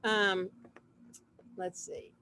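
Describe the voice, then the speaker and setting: A woman casually hosting an online community gathering over a video call, chatting informally in a halting way with trailing asides. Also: medium pitch, little pitch movement, sharp tone. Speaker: host of an online community program. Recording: noisy video call audio.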